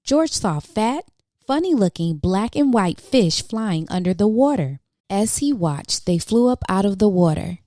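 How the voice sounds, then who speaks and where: A woman with a soft, mellow voice reads a children's picture book aloud. Her pitch moves in lively swoops as she plays up questions and exclamations for young listeners. The sound is dry and close. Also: a little slow, dry recording. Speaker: children's storybook reader, channel host.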